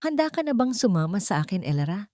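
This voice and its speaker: Woman playing devoted male lover, soft-spoken, tender, romantic audio drama, dry close sound. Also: mid-range voice, very animated, fast, dry recording, pitched above the performer's own voice, natural voice. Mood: hopeful, tender. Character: devoted, tender male lover, powerful man turned gentle. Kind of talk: audio drama love story acting.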